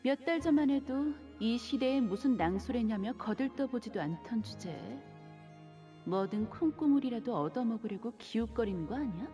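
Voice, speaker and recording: An actress playing a sharp-tongued, scornful young woman who nags, in a game. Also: on the high side, animated, racing, natural voice. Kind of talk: scripted game voice acting. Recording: game music under dialogue.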